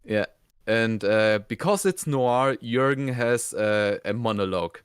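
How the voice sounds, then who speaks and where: Clear-voiced man in casual review chat. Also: lowish voice, unhurried. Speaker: reviewer, co-host.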